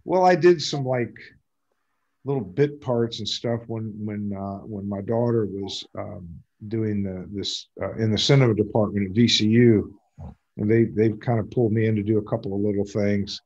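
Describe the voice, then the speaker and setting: An older American man chatting casually and self-deprecatingly on a video call, halting and rambling as he goes. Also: fairly low pitch, a little mellow, muffled recording. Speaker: community theater actor. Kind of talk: casual chat at an online talkback. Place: indoors at home on a video call. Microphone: video call microphone.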